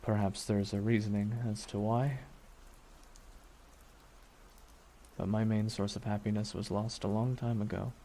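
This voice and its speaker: A low-voiced, very mellow supervillain, acted by a man, weary with grief and bitterly vengeful. He speaks in a brooding ASMR roleplay monologue, leaving deliberate pauses. Rain and steady noise sit behind the voice. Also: close to an everyday voice. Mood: sorrowful, brooding. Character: grieving vengeful male supervillain, weary, menacing, softly bitter.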